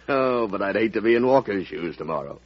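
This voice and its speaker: An actor playing a wry, patient presidential aide with a measured, amused manner, in old-time radio drama style. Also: male voice, on the low side, lively intonation, faint music behind, lightly acted. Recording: muffled classic radio broadcast recording.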